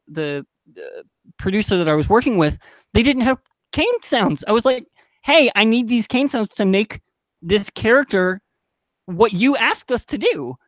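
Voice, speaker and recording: A man's voice: sits high, fairly bright tone, no room sound, low-quality recording. A man talking casually as a podcast panelist and sound designer, telling anecdotes in an informal, emphatic way.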